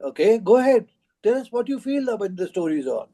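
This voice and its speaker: Male discussion host, high pitch, mellow tone, informal, encouraging prompts. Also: very animated, fast talker. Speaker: host of book club discussion. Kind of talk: informal moderating of a group call.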